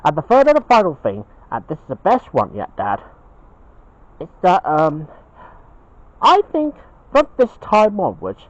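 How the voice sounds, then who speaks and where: A muffled home recording of a man as a young cartoon bear son. The voice is raised and swings widely up and down. He sounds sheepish and earnest, halting and repeating words for emphasis. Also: on the high side, no room sound, pitched above the performer's own voice. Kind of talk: homemade cartoon puppet-style dialogue.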